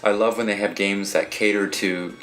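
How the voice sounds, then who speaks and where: A man's voice: fairly low pitch, fairly even intonation, normal speed, fairly bright tone. A man co-hosting a casual discussion about video games, speaking conversationally. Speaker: podcast co-host.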